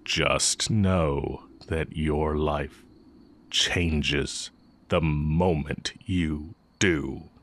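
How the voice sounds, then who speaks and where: A man playing a sly, heretical magic teacher with secret longing, speaking in a measured, teasing way in a romantic audio roleplay. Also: extremely low pitch, fairly even intonation, a bit bright, a little hiss or hum, full character voice. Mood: grave, deliberate. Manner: measured, deliberate, teasing delivery with drawn-out pauses.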